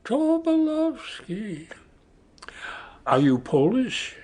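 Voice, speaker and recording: A man's voice: very high-pitched, wildly swooping pitch, drawn-out words, a little mellow, exaggerated voice. An actor playing an old retired man in an audio drama, friendly but dryly blunt, addressing others with old-fashioned politeness. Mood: friendly, curious. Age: older.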